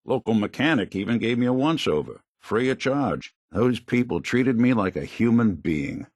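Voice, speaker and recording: An actor playing a world-weary, kindly middle-aged android detective in a video game, gravelly and wry, with hard-boiled old-time slang. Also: male voice, medium pitch, expressive, normal speed, dry recording, natural voice. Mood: wistful, reflective. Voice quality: gravelly, husky. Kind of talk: video game dialogue, film noir detective acting.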